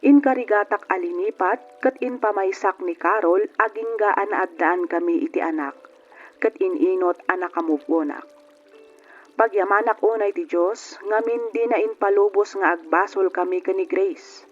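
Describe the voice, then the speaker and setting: A man's voice: sits high, normal speed, breathy edge. An Ilocano man playing a married man who narrates his past love reflectively in a radio drama. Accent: Filipino, Ilocano. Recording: muffled radio recording with music behind. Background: music.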